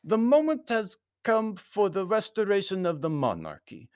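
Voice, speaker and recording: High-pitched, pompous Baron. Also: male voice, very animated, normal speed, darkish tone, clear, resonant tone, dry recording, studio quality. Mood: stiff, courteous. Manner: stiff, formal, inverted word order. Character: big bearded foreign Baron, stiff, formal, pompous loyalist envoy.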